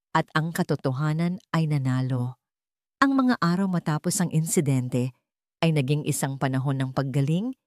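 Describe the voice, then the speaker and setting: A woman narrates a scripted dramatic story. Her voice sits a little low for a woman. The sound is very dry, with hardly any room around it. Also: darkish tone, no room sound.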